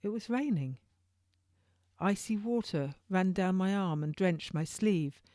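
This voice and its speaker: Low-pitched woman narrating, dry sound. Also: animated, a little slow, dark tone, no room sound. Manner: informal read-aloud with occasional stumbles. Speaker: author reading own novel. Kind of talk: first-person historical audiobook narration.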